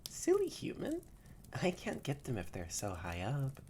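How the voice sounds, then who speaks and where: A young adult performer voicing a haughty, grumpy yet secretly shy catboy in an intimate roleplay audio drama, stammering and often slipping into breathy near-whispers. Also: medium pitch, wildly swooping pitch, measured pace, a little mellow, a little hiss or hum. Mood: haughty, grumbling.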